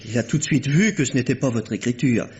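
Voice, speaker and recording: An actor playing a chatty, wry, familiar manservant in an old French radio drama. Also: male voice, medium pitch, fast talker, darkish tone, close to an everyday voice. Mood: soothing. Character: cheeky, familiar manservant of a country doctor. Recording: dull vintage radio recording.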